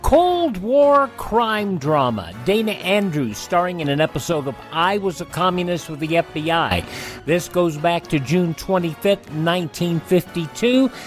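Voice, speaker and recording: A man's voice: high-pitched, expressive, a little slow, faint music behind. A man hosting a classic radio show, introducing an episode in plain, conversational talk.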